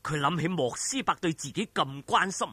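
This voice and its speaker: A man's voice: a little high, animated, normal speed, sharp tone, breathy edge, no echo. A man with a Hong Kong Cantonese accent narrating a martial arts story in a theatrical, colloquial, emphatic way. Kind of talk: dramatic wuxia audiobook narration.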